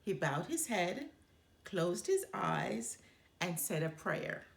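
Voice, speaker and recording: A woman reading a children's storybook aloud with expressive, dramatic delivery. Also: fairly low pitch, takes it slowly, gravelly. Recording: roomy echo.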